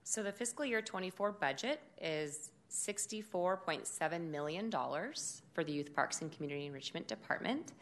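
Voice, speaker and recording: A woman who directs a city department, giving a formal budget presentation at a public meeting in a loud, steady, measured voice. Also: fairly low pitch. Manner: measured, informative delivery with occasional fillers.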